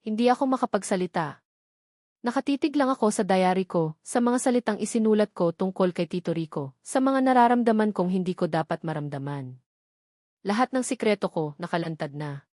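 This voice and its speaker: A woman reading a scripted first-person dramatic story in measured, short phrases. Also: medium pitch, expressive, darkish tone, no room sound, studio quality. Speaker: story narrator.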